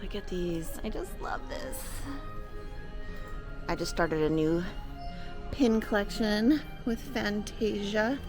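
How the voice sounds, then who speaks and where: A woman's voice: medium pitch, slow, pauses often, music in the background. A woman chatting casually and enthusiastically to viewers as she co-hosts a shopping vlog. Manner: gushing, enthusiastic. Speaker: vlog co-host.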